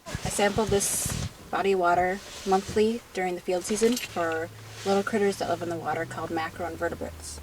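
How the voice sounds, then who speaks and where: A woman's voice: lowish voice, steady tone, brisk. A young woman, a water quality specialist, explaining things outdoors beside a creek in an eager, explanatory way. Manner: explanatory, enthusiastic, laughs lightly. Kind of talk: field interview explanation. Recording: outdoor field recording with noise. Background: outdoor ambience.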